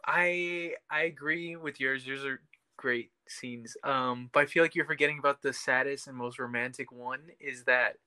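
A podcast panelist with a very bright voice talks casually about television. The delivery is informal and enthusiastic, and the sound is dry and close. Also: mid-range voice, fairly even intonation, moderate pace, no room sound. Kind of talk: casual chat about a TV show.

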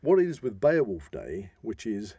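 A man's voice: lowish voice, huge pitch swings, unhurried, mellow, slightly rough, no echo, dull audio. A man with an English accent hosting a casual podcast, talking in a discursive, explanatory way. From England. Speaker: podcast host.

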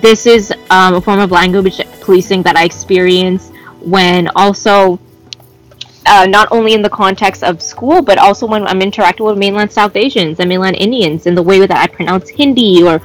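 A woman's voice: medium pitch, fairly even intonation, moderate pace, faint music behind, poor audio. A woman from Canada with a Canadian English accent, a guest in a casual conversation who speaks passionately and often restarts while searching for words.

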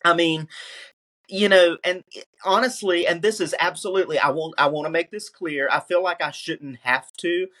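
A man's voice: a little high, steady tone, normal speed, sharp tone. A man who is a guest on a podcast, speaking emphatically and often restarting his sentences with "you know". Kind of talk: casual conversational interview.

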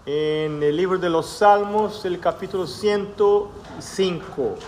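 A middle-aged man from Brazil preaching a sermon in a church, with a Brazilian Portuguese accent and a measured manner broken by hesitant fillers. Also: high voice, darkish tone, slight background noise. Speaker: pastor.

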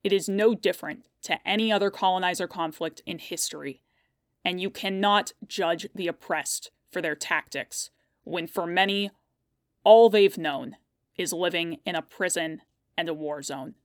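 A woman with a slightly low voice reads a prepared political statement. She is firm and declarative, and the recording is dry and close. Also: normal speed, no room sound.

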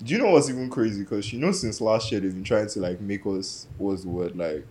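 Fast, blunt young male host. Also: on the low side, a little mellow, slightly rough. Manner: blunt, joking, swears. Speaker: podcast host. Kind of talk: casual chat.

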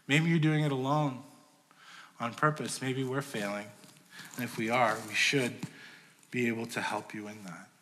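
Male pastor, conversational sermon delivery, slightly high voice, reverberant church hall. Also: sharp tone. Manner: conversational preaching, dynamic swings in volume.

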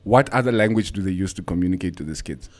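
A man's voice: deep voice, lively intonation, fast, darkish tone. A man in casual conversation, arguing his point insistently, switching between English and Afrikaans and laughing easily. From Namibia.

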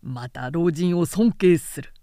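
Storybook warlord, acted by a woman: magnanimous, authoritative, hearty and brisk. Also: lowish voice, warm tone, no room sound. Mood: hearty approval. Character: commanding, magnanimous male warlord honoring the elderly. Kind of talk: audiobook character reading.

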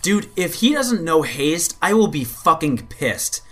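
A man's voice: a little high, big pitch swings, unhurried, fairly bright tone. A man co-hosting a casual gaming podcast, rambling and stammering with repeated words, and swearing when annoyed. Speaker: podcast co-host.

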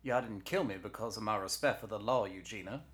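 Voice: medium pitch, a little fast, fairly bright tone, pitched above the performer's own voice, close to an everyday voice. A storyteller voicing a small male talking head with a mustache, a sly charmer with a coaxing, folksy way of talking. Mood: wry.

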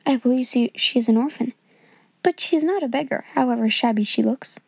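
A woman's voice: fairly high pitch, fairly quick, creaky, a little mellow, breathy edge, no echo. A woman voicing a sensible, opinionated girl who speaks decisively with a touch of worry. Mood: musing. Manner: decisive, a little anxious.

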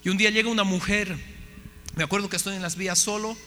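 Impassioned preacher in a hall. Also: male voice, high voice, fairly even intonation, fast talker, bright, forceful tone, a little hiss or hum. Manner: impassioned, asks the audience questions. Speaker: missionary preacher. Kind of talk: testimony sermon to a congregation.

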